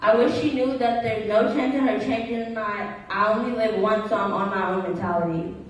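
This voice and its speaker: A woman's voice: a little high, fairly even intonation, normal speed. A young woman performing slam poetry as a student, with an earnest, rhythmic, rehearsed delivery. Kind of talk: slam poetry recitation with acted student dialogue. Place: large echoey room at a gala event. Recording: very echoey hall, distant mic.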